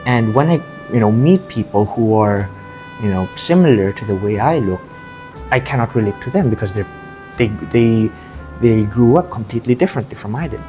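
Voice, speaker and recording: A man from Southeast Asia talking casually and earnestly in a reflective vlog, halting and repeating phrases as he goes. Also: on the low side, animated, dark tone, faint music behind. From Southeast Asia, grew up in Thailand and the Philippines. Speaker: amateur bodybuilder vlogger. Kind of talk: casual confessional vlog talk.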